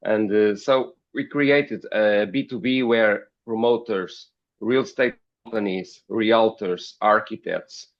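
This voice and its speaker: A man from Portugal with a Portuguese accent, giving interview answers as a podcast guest in a halting, hesitant way, often repeating words. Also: lowish voice, dull audio. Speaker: podcast guest, company founder.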